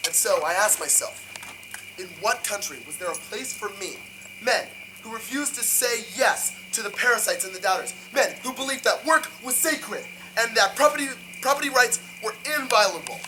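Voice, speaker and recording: A man acts out a proud, defiant tycoon delivering a dramatic video game monologue. He speaks as an orator, emphatic and deliberate, full of contempt and grandeur. The recording has clear background noise. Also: high-pitched, lively intonation. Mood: bitter, resolute.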